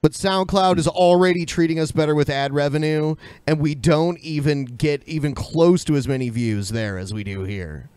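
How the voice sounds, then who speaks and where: A man's voice: fairly high pitch, normal speed, hardly any pauses, a little mellow, smooth, no room sound. A man co-hosting a podcast, casually running through announcements and chatting with some swearing. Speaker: podcast co-host. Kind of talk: casual, profane chat and announcements.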